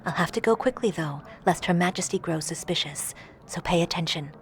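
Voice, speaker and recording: An actress playing a wise, cautious court adviser in a fantasy audio drama, with formal, precise, archaic diction. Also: female voice, fairly low pitch, fairly quick, close to an everyday voice. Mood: grave, measured.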